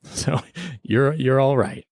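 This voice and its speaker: A man with a slightly lower-pitched voice hosts a podcast on a close, dry mic. He speaks with teasing mock formality, as if running a playful tribunal. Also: slow pace, darkish tone, no echo. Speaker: podcast host. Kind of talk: semi-scripted mock-tribunal podcast banter.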